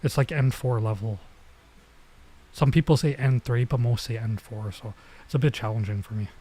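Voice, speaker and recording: Male streamer, mellow mid-range voice, casual game commentary, halting think-aloud delivery with bits of Japanese. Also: medium pitch, steady tone, slightly rough. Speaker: streamer, let's player. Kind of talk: casual let's-play commentary, reading game dialogue aloud.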